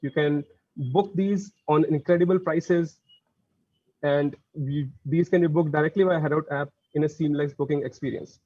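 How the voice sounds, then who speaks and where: A man giving a company presentation over a video call, talking quickly and loosely with many fillers and restarts. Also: fairly high pitch, steady tone, no room sound. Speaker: engineering executive speaker. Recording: dull, dry video call audio.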